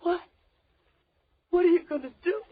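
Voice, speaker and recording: An actress playing a terrified young captive, pleading haltingly and hysterically in an old radio horror drama. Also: female voice, very high voice, lively intonation. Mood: frightened pleading. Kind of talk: 1940s radio horror acting. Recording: noisy, muffled old radio recording.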